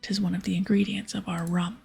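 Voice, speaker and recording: A woman voicing a gruff, dramatic male pirate captain in archaic sea-dog talk, half-whispered as in an ASMR game read-aloud. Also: mid-range voice, flat delivery, a little fast, exaggerated voice. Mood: conspiratorial. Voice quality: breathy, half-whispered, gravelly. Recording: soft music behind.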